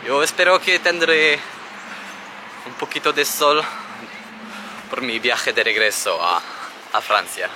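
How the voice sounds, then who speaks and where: Slow-talking man vlogging. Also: mid-range voice, background noise. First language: French. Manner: halting learner's Spanish with restarts. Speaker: travel vlogger. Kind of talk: casual vlog talk to camera. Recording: music under the voice. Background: background music.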